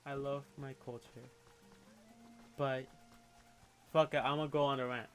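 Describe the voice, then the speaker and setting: A man's voice: mid-range voice, little pitch movement, clear, resonant tone, soft background music. A young man with a Puerto Rican accent, chatting and ranting on a livestream in a candid, opinionated way. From Puerto Rico. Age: young adult. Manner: candid, opinionated, quick to rant, with casual swearing. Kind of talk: casual livestream chat and rant.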